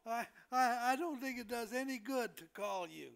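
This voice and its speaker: An older man playing a flustered, put-upon hospital patient in an amateur play reading, stammering through halting protests. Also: extremely high pitch, animated, creaky, a bit bright, full character voice. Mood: indignant.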